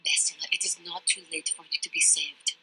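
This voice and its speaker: Very husky, earnest knight woman. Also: deep voice, steady tone, fairly quick, sharp tone, exaggerated voice, poor audio. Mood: earnest, pleading. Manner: solemn, earnest. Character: earnest woman Jedi pleading for redemption. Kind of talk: dramatic video game acting.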